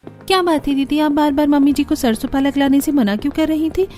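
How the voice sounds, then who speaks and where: A woman's voice: sits high, fairly quick, dark tone, soft background music, voice pushed up, close to an everyday voice. A woman voicing a curious, eager young daughter-in-law in a cartoon story, puzzled and full of questions. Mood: earnest questioning.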